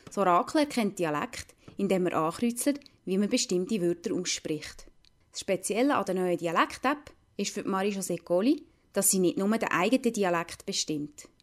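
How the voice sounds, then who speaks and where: Swiss german lady from lucerne doing a radio report, reads from script, medium pitch. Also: lively intonation, normal speed.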